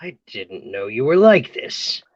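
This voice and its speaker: A woman's voice: very deep voice, very animated, measured pace, no room sound, lo-fi sound, full character voice. A woman playing a flustered, love-hungry neighbour of forty-two in a play, hesitant and theatrically torn. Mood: taken aback. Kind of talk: play table read.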